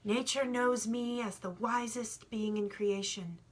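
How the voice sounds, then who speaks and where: A woman voicing the sun as a wise, aloof, ancient being in a measured storybook read. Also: fairly high pitch, fairly even intonation, breathy edge. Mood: wise, puzzled.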